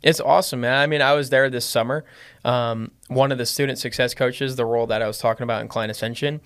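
A man with a slight Lebanese accent, talking casually as a podcast guest. He rambles with many fillers and restarts, and grows livelier and louder when excited. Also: mid-range voice, quick pace, clear, resonant tone. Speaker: podcast guest, business owner. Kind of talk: casual conversation.

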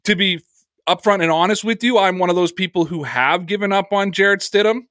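A man's voice: high voice, brisk, a bit bright, dry recording, studio quality. A man hosting a sports podcast, answering viewer comments in an earnest, conversational way.

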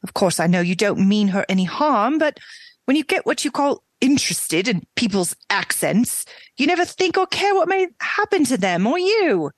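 Woman doing a stern British housekeeper, firm and reproving, higher-pitched voice with a lively lilt. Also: moderate pace, no echo. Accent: put-on British. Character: stern middle-aged English housekeeper.

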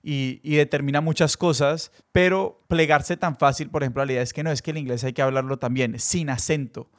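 A man's voice: a little high, steady tone, fairly quick. A man in casual conversation, earnest and searching for words as he reasons.

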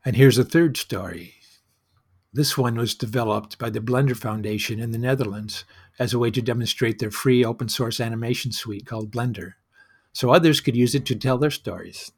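A man with a middle-pitched voice delivers a personal storytelling monologue as the host of his own show. He talks conversationally and reflectively, with a wry edge to his phrasing. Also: normal speed, slightly rough.

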